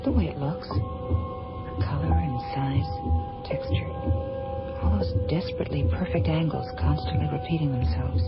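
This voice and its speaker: An actress playing an earnest, troubled woman scientist in an old science fiction radio drama. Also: low-pitched. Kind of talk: 1980s radio drama acting. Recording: dull old radio recording with loud music.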